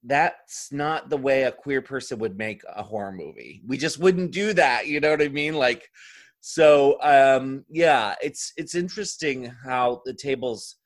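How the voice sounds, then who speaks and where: A man speaking as an interview guest in a casual podcast conversation, thinking aloud in a discursive way with frequent filler phrases. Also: medium pitch. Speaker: interview guest, drag performer.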